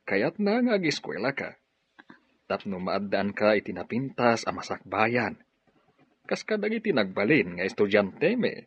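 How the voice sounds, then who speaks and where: Husky, devoted Filipino father. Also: lowish voice, sing-song, moderate pace. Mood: reassuring. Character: devoted middle-aged father, still pining for lost sweetheart. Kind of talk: Filipino radio drama acting. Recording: dull, muffled radio drama audio.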